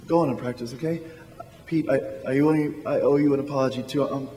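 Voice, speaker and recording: A man's voice: mid-range voice, fairly even intonation, quick pace, dark tone, slight background noise, close to an everyday voice. An actor playing a frantic, short-tempered husband and father in despair, stammering through a stage radio drama. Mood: ashamed, subdued. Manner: stammering, agitated. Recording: reverberant hall with music behind.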